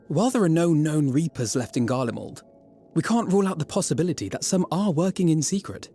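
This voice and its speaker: A man's voice: a little high, expressive, brisk, dark tone, faint music behind. A man acting an earnest soldier in a video game cutscene, giving a formal, composed, articulate briefing.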